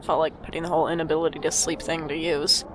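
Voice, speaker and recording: A woman's voice: sits low, level tone, fast, a crowd in the background, natural voice. A woman playing an anxious, sardonic older sibling in an audio drama, with a dry, wry, self-deprecating way of talking. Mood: prickly, teasing. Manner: dry, wry, theatrical sarcasm. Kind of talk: audio drama acting with first-person narration.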